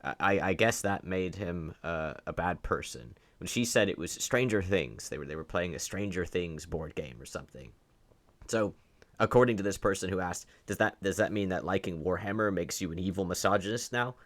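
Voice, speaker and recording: A man's voice: sits low, normal speed. A man giving opinionated video commentary in an argumentative, sarcastic way, often posing rhetorical questions. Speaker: online commentator.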